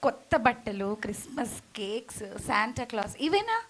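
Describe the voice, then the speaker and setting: A woman voicing a sensible, gentle child puppet who questions and patiently teaches a friend in a children's church puppet show. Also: on the high side, big pitch swings, moderate pace, noisy background. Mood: earnest, gentle.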